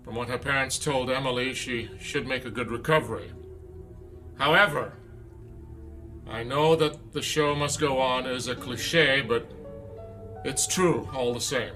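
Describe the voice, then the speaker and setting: An actor playing an authoritative, formal theatre director in an audio drama. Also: male voice, medium pitch, a little slow, long pauses, sharp tone, soft background music. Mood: commanding. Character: authoritative male theatre director running a rehearsal.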